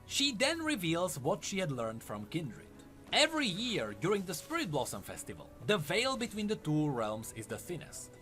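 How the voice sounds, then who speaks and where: A man's voice: high voice, wildly swooping pitch, normal speed, few pauses, bright, forceful tone, soft background music. A man delivering scripted narration as the narrator of a lore video.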